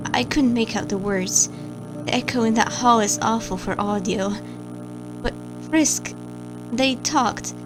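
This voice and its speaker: A woman's voice: on the high side, natural voice, low-quality recording. A woman playing an anxious, guilt-ridden watcher of camera feeds, who stammers and hesitates as she narrates a horror log over music.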